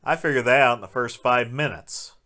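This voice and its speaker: Bright, irreverent wisecracking male movie reviewer. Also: mid-range voice, fairly quick. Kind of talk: sarcastic scripted film review narration.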